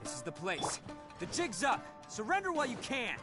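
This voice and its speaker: An actor playing a brash, boastful young oni gang leader in a video game, with a swaggering, theatrical manner. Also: male voice, high voice, huge pitch swings, moderate pace, music in the background. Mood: battle bravado.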